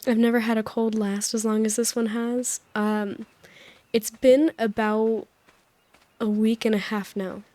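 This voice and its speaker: Soft-spoken woman sleep-story host, mellow and a touch high, slightly hoarse, meandering and soothing. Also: little pitch movement, a little slow, no echo. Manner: gentle, soothing, meandering with small laughs. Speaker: sleep-aid video host. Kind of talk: soft, rambling sleep-aid talk over gameplay. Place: voice-over recorded apart from the game footage.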